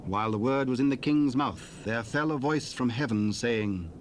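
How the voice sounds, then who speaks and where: A man narrating a dramatized scripture reading in a measured, solemn way. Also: mid-range voice. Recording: cassette tape hiss.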